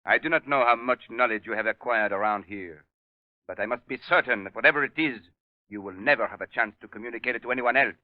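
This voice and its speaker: A man's voice: medium pitch, quick pace, dry recording, lightly acted. Man acting coldly menacing doctor, dry dull old radio. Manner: formal, precise, politely sinister. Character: coldly menacing middle-aged male foreign doctor, a villain. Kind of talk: 1930s radio drama acting. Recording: dull vintage 1930s radio recording.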